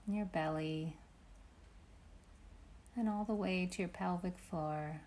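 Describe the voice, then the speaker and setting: A woman meditation teacher leading a guided meditation with gentle, unhurried instructions and long pauses. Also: on the low side.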